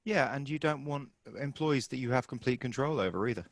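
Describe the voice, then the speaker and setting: A man's voice: medium pitch, brisk, no room sound, clean studio sound. A man co-hosting a podcast who mostly chimes in with short replies and friendly suggestions. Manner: brief responsive interjections, friendly suggestions. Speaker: podcast co-host. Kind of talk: casual conversation.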